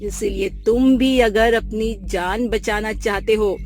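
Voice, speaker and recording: Woman voicing a slow, ominous forest guardian spirit. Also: mid-range voice, steady tone, music in the background, natural voice. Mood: warning, ominous. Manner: ominous, commanding. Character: mysterious, menacing female guardian spirit of a forest. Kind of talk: theatrical Hindi cartoon story dialogue.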